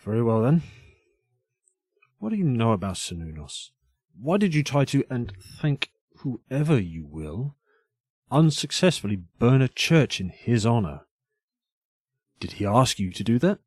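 A man playing a stern, probing older inspector in an audio drama, with a husky voice and a measured, formal, authoritative way of questioning. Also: medium pitch, very animated, a little mellow, dry recording. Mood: probing, skeptical. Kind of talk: dramatic interrogation dialogue.

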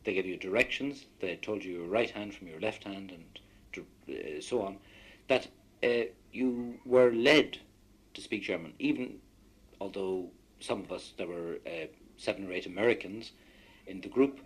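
A man with an Irish accent and a very low, husky voice. His first language is Irish. He talks in a loose, anecdotal way, wandering through his memories as a guest in a discussion. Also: moderate pace, rough recording. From Ireland. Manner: anecdotal, rambling recollection. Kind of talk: radio discussion.

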